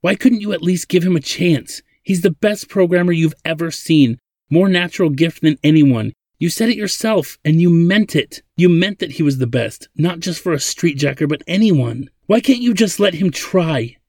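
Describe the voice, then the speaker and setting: Man voicing an indignant young woman, pitched up. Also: very animated, moderate pace, a little mellow, dry recording, studio quality. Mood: angry. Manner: blurting, indignant, pleading. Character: defensive robotic daughter of an old inventor, fiery, loyal.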